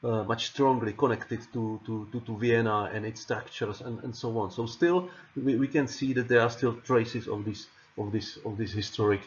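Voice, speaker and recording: A man's voice: medium pitch, fairly even intonation, normal speed, hardly any pauses, slight background noise. A man from the Czech Republic with a Czech accent, a non-native speaker giving a recorded lecture over slides, stumbling over repeated words and fillers. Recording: dull lo-fi audio.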